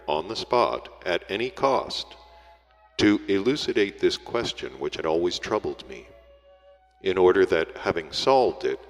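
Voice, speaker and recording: A man reading a literary story aloud as an audiobook narrator, in a measured, formal storytelling delivery. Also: low voice. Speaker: audiobook reader. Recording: echoey hall reverb, music behind.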